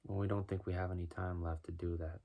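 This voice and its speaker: A man with a deep voice doing a rhyming spoken-word freestyle, musing and preaching as he goes. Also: flat delivery, fast, mellow. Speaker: freestyle musician.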